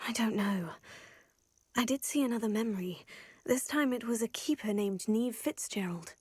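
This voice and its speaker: A woman's voice: a little high, normal speed, darkish tone, husky, dry recording, clean studio sound. An actress playing an earnest, poised young witch student in a video game, articulate and polite. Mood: explaining, composed. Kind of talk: scripted video game acting.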